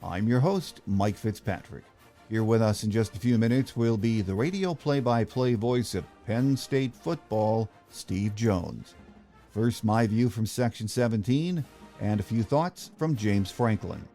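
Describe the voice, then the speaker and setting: A man's voice: on the low side, measured pace, warm tone, soft background music. An American man hosting a sports podcast, reading scripted news and introductions.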